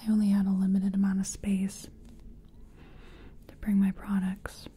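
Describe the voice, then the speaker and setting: A woman speaking in a breathy, mostly whispered voice, gently murmuring and hesitant, as a makeup artist in a personal-attention ASMR roleplay. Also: medium pitch, monotone, moderate pace.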